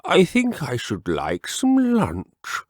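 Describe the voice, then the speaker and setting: Man acting out a gentleman frog, fussy, genteel, drawn-out expressive phrasing, storybook character, close dry sound. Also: on the high side, no room sound, voice pushed up, full character voice. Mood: fussy, musing.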